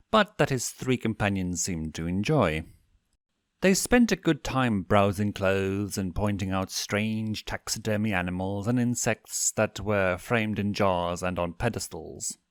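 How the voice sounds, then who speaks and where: A man narrates fiction in a fairly low voice with very lively, swinging intonation. He reads in an audiobook style, and the sound is dry and close. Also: no room sound. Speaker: fanfiction narrator.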